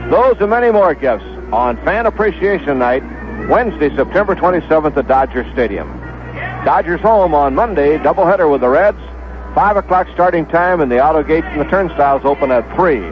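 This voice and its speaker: A man's voice: on the high side, dull audio. A man reading a promotional announcement on a 1970s baseball radio broadcast. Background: music under the voice.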